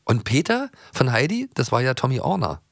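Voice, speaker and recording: A man co-hosting a podcast, nostalgic and rambling. He talks fast with a lively, bouncing pitch and a gravelly edge. The sound is dry. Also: background noise. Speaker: podcast co-host. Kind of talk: casual chat about audio dramas.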